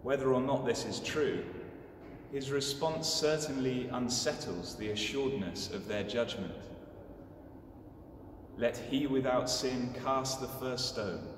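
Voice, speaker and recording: A young man delivering a scripted homily in a measured, solemn manner. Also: medium pitch. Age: young adult. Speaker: clergyman giving a homily. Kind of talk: scripted sermon. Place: inside a large stone church. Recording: distant, echoing church, clearly noisy.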